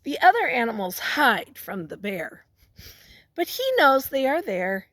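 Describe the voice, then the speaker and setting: A woman's voice: fairly high pitch, big pitch swings, a bit bright, no room sound. A woman teacher reading a picture book aloud to young children, stopping to ask them questions and prompt them. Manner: pauses to question and prompt listeners.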